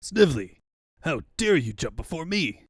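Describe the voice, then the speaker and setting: Pompous cartoon tyrant played by a man; grandiose, menacing, big pitch swings, close dry sound. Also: very animated, moderate pace, husky, no room sound, exaggerated voice. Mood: panicked blustering. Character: tyrannical pompous villain, male mad doctor, bombastic and bullying.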